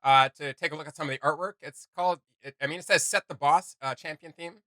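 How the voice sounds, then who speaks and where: Male reaction host: slangy, enthusiastic, slightly high bright voice, casual chat. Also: steady tone, fast, no echo.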